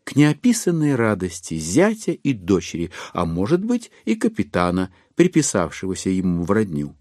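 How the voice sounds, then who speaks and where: Literary narrator, audio play, animated intonation, storytelling delivery, dry studio sound. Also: male voice, low voice, moderate pace, no room sound. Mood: wry, measured. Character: storytelling narrator of a classic satirical novel.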